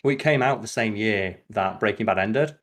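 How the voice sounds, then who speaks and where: A man's voice: on the low side, normal speed, fairly bright tone. A man chatting casually as a podcast host, blunt and opinionated, with plenty of swearing.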